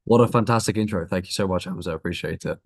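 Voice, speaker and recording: Young British male guest, low-pitched, easygoing conversational tone. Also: rapid-fire, a little mellow. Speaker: podcast guest. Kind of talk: casual interview chat.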